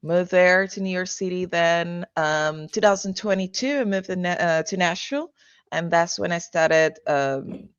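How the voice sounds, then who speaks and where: A woman's voice: low-pitched, smooth, no room sound, lo-fi sound. A woman from Venezuela, a realtor and author, answering interview questions casually over a video call with lots of fillers and restarts. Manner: hesitant, many fillers and restarts, laughs easily.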